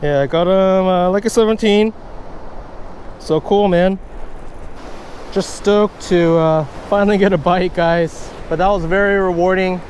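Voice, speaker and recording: High-voiced man fishing in the surf. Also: measured pace. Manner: excited, bursts of stoked exclamations. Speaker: fishing vlogger. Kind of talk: casual how-to commentary while fishing. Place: outdoors on an ocean beach, wading in the surf. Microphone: wearable action camera, first-person view. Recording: faint ocean surf noise.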